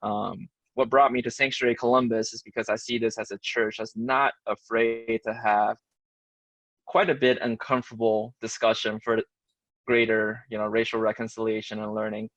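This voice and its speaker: Gravelly low man, dry close sound. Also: little pitch movement, moderate pace, fairly bright tone, no room sound, lo-fi sound. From Taiwan, raised in the United States. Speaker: church member, panel guest. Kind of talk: personal testimony in a discussion. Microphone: video call.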